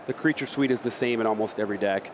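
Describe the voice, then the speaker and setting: A man breaks down card game deck lists at a quick clip. His voice is fairly low. Noticeable background noise sits under the commentary. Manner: quick and explanatory. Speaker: esports analyst. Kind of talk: analytic color commentary.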